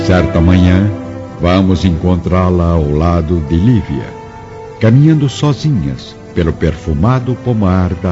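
A man narrating a radio drama from a script in a solemn, literary manner. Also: deep voice. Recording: muffled studio recording with music. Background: music.

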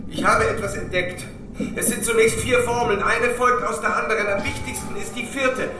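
A man plays an obsessed scientist in a radio drama, high-voiced and urgent. He halts and repeats himself as his words ring through a very echoey hall, with music underneath. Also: normal speed, natural voice. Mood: urgent, halting. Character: obsessed male physicist blurting out his discovery.

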